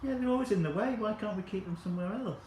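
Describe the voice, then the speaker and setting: A woman co-hosting in a kitchen, chiming in with teasing quick asides. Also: medium pitch, a little mellow. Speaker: co-host in kitchen. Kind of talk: casual banter. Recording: echoey kitchen with faint noise.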